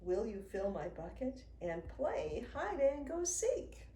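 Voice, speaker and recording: Older lady reading a kids picture book, slow and singsongy, mellow voice, roomy sound. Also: fairly high pitch, big pitch swings. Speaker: children's book author reading aloud. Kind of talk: read-aloud picture book storytelling for young children. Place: indoors in a home room with a wooden desk. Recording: roomy sound with faint noise.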